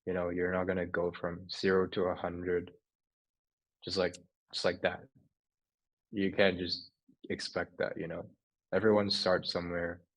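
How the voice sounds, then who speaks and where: A man's voice: low-pitched, completely flat delivery, unhurried, pauses often, low-quality recording. A young man from Hong Kong, a native Cantonese speaker, chatting informally as an interview guest. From Hong Kong, moved to England at fourteen. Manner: informal, says "like" and "you know" often, some swearing. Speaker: podcast guest, writer and photographer. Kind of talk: casual interview chat.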